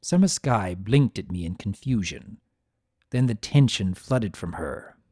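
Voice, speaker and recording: A man narrates a story in a dramatic, deliberate style. His pace is slow and his pitch moves expressively around a middle register. The recording is dry. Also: expressive, dark tone, no room sound. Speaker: audiobook narrator.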